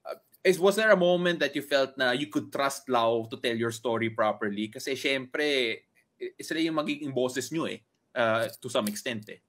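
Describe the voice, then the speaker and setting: A man's voice: medium pitch, normal speed, fairly bright tone. A young Filipino man hosting a casual video-call show, joking and upbeat. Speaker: host. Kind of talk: casual show hosting, mixing English and Tagalog. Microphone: video call from a home room.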